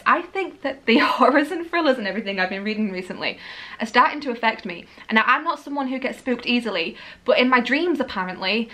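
Bright, chatty northern English woman. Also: fairly high pitch. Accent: Lincolnshire English with Yorkshire. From Yorkshire, England. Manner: animated, laughs often, swears casually. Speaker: book vlogger. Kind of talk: casual chatty vlog. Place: living room with bookshelves, indoors.

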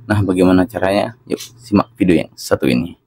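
A man's voice: sits low, brisk, darkish tone, slightly rough. A man narrating a casual hands-on product tutorial, talking instructively to his viewers. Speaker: product reviewer.

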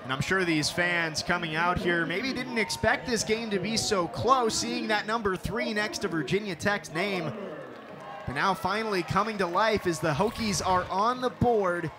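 High-voiced young male play-by-play announcer. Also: normal speed. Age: young adult. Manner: crisp broadcast delivery, rising to excited calls on big plays. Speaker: sports reporter and play-by-play announcer. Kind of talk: televised softball play-by-play.

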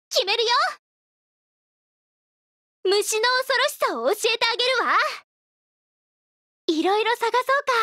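A woman voicing a cute, spirited young girl firefly youkai for a mobile game, with a perky, expressive delivery. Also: very high voice, no room sound, clean studio sound, exaggerated voice. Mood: spirited confidence. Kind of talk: Japanese mobile game character voice lines.

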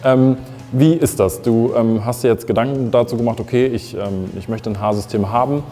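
A man's voice: mid-range voice, moderate pace, warm tone, big-room sound, soft background music. A young adult man hosting a talk show, asking questions casually with some fillers. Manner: interviewing, hesitant with fillers. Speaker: host. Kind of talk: casual interview chat. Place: photo studio with grey backdrop.

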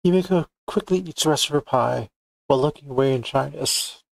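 A man's voice: fairly high pitch, a little fast, gravelly, dry recording. A man playing a visual novel, casually reading the on-screen text aloud and commenting as he goes.

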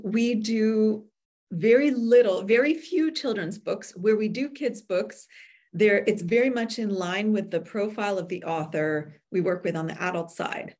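Low-pitched woman explaining in measured tones. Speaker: guest expert, book publisher. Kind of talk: explanatory webinar talk. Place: indoor room with bookshelves, on a video call. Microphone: video call microphone.

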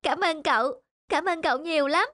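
Very high-pitched, earnest little schoolboy voiced by a woman. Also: moderate pace, bright, forceful tone, no room sound, clean studio sound. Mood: grateful. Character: earnest young schoolboys in a moral cartoon. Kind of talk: animated children's story dialogue. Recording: faint music behind the voice.

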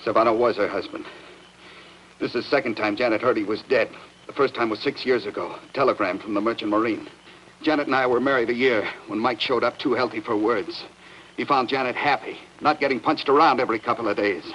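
A man's voice: medium pitch, a little fast, a bit bright, close to an everyday voice. A middle-aged American man playing a protective, bitter husband in a 1950s TV drama, curt and emphatic. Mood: bitter, indignant. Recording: noisy old 1950s television soundtrack.

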